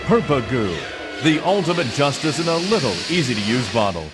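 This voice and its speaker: A man's voice: mid-range voice, very animated, moderate pace, loud music behind the voice, lightly acted. A man playing a friendly, salesmanlike TV commercial announcer for a game's parody advert. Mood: soothing sales pitch.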